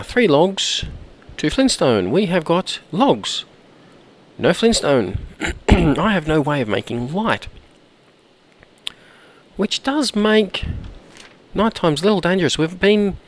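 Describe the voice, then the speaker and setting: A man with a hoarse, croaky voice from a cold, chatting casually while he plays and often clearing his throat. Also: a little high. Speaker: gameplay commentator. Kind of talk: casual let's-play commentary.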